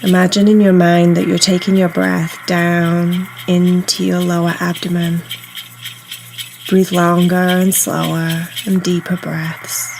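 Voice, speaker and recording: A woman guiding a meditation in a soothing, unhurried, instructional way, leaving pauses between phrases. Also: lowish voice, flat delivery, gravelly, slight background noise, rough recording. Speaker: meditation guide. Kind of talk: guided meditation narration. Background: soft ambient meditation music.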